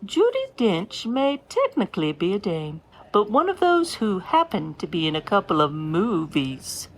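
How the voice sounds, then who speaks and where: A woman's voice: mid-range voice, wildly swooping pitch, a little slow, a little hiss or hum. A woman playing a pompous grand old stage dame with a haughty, theatrical British accent. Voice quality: haughty, theatrical, self-important. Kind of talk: comedy podcast sketch.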